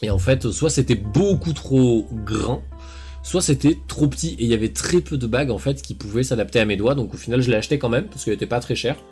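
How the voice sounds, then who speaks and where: A man's voice: medium pitch, a little fast, warm tone, slightly rough, faint music behind. A man in his thirties from south-east France with a faint southern accent, chatting casually and rambling with many fillers on a live cooking stream into a headset mic. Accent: southern French, faint. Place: home kitchen and small room.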